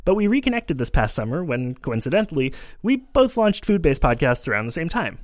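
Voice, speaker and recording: A man's voice: a little high, very animated, fairly quick, no echo. A man hosting a podcast from a studio, chatty and friendly, with many filler words. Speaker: podcast host. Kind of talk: casual chat. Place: home studio.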